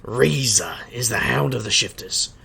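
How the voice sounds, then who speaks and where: An actor playing a wisecracking private eye and street magician, narrating an audio drama in a sardonic, gravelly way. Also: male voice, medium pitch, unhurried, sharp tone, full character voice. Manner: sardonic, wry. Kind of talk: noir-style first-person narration and dialogue in audio drama.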